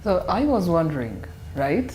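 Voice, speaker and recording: Female panelist, low pitch, probing and deliberate, echoey room. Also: big pitch swings, a little mellow, slight background noise. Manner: probing, builds a question step by step. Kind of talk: panel discussion.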